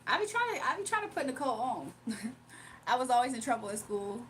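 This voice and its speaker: A woman's voice: fairly high pitch, sing-song, a little fast, a bit bright, a little hiss or hum. A young woman streaming live, chatting casually with her viewers, laughing often and reading out chat messages.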